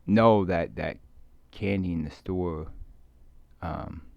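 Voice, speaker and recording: A man rambling casually to himself in a halting, hesitant way, repeating words and trailing off mid-thought as he muses. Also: sits low, vocal fry, no echo. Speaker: video creator. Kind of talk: rambling casual solo monologue. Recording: dull, muffled lo-fi recording.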